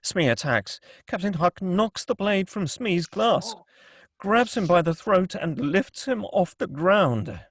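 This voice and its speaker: A man's voice: high-pitched, very animated, takes it slowly, dry recording, clean studio sound. A man reading scripted screenplay action and scene headings as the narrator of a table read. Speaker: narrator reading stage directions.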